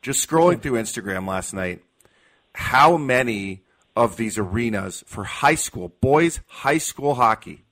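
A man's voice: medium pitch, animated, a little slow, fairly bright tone. An American man hosting sports talk radio in a casual, informal and enthusiastic way.

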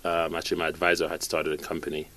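A man in his forties from Cameroon, a business executive giving a relaxed studio interview, restarting phrases as he goes. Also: rumbling low. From born in Cameroon, raised in Canada. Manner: talks in quick bursts, repeats and restarts phrases. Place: radio studio.

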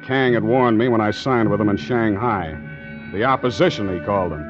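A man's voice: fairly low pitch, brisk, close to an everyday voice. A man acting a tough, secretive ship's skipper with wry, hard-boiled delivery in a 1940s radio adventure. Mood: brooding narration. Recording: muffled 1940s radio recording, background music.

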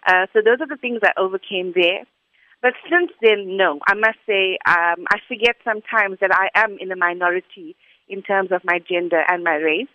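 A woman's voice: medium pitch, normal speed, dry recording. A woman, giving earnest, articulate answers as an interview guest. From South Africa. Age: 40s. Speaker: interview guest, school academic director. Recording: dull lo-fi sound, dry.

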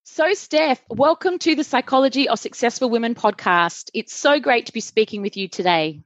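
A woman's voice: on the high side, animated, moderate pace, no echo, muffled recording. A woman hosting a podcast, reading a scripted intro and then interviewing her guest with effusive, enthusiastic praise. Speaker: podcast host.

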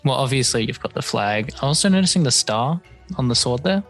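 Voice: medium pitch, animated, a little fast, fairly bright tone, soft background music. An interviewee from Australia, answering plainly. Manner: straightforward conversational.

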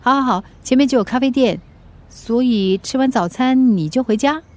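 An actress playing a caring, gently nagging friend in a radio drama, reassuring and solicitous, with a Singaporean accent. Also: female voice, fairly high pitch, moderate pace, close to an everyday voice. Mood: concerned, helpful.

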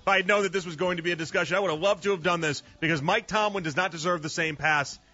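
A high, bright-toned man in his thirties riffs on sports talk radio, firing off rhetorical questions and pressing his case. The broadcast sound is a little dull, with faint music under his voice. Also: very fast. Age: 30s. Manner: animated, argumentative, rhetorical questions.

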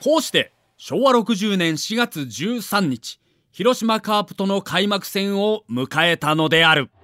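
A man's voice: high voice, expressive, measured pace, sharp tone. A man giving dramatic scripted narration for an audio documentary.

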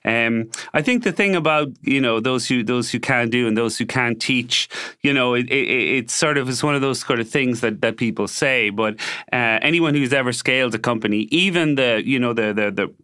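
A man's voice: medium pitch, normal speed, pushed and bright, clean tone, no room sound, studio quality. An Irish man talking casually as an interview guest, a professor thinking aloud in a discursive way. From Ireland.

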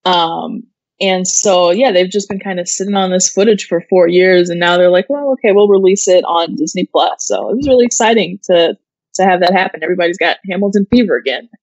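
A woman's voice: fairly low pitch, a little fast, darkish tone, no echo, dull audio. A woman co-hosting an entertainment show, chatting casually about pop culture. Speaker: co-host of an entertainment news show.

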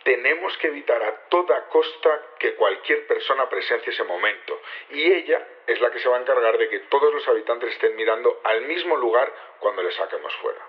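A male actor plays a calm, orderly leader in an audio drama. He has a medium-pitched voice and sets things out politely and methodically. The sound is a little lo-fi, like radio. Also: brisk, natural voice. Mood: composed, businesslike. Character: composed male leader briefing his conspirators, methodical. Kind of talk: naturalistic audio drama acting. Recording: slightly dull radio-like sound.

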